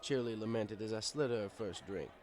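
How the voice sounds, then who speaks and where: A man's voice: lowish voice, quick pace, darkish tone. A man reading a first-person story as scripted narration, in a wry, conversational manner. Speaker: story reader.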